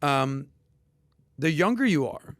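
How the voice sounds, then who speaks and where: A man hosting a casual podcast and explaining investing in an emphatic, animated way, with sudden loud bursts. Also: on the high side, slow pace, no echo, clean studio sound. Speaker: podcast host.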